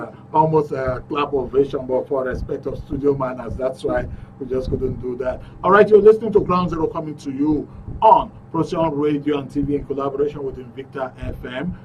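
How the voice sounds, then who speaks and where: Nigerian radio host, upbeat announcer, muffled sound, mic set back, background hiss. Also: male voice, a little high, a little mellow, recorded from a distance. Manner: upbeat announcer, reads out messages and phone numbers. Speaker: radio talk show host. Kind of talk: live call-in radio hosting. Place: radio studio.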